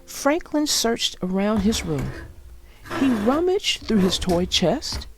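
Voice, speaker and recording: Slow, animated woman reading a children's story. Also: mid-range voice, over music. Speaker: children's story narrator and channel host. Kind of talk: read-aloud storybook narration.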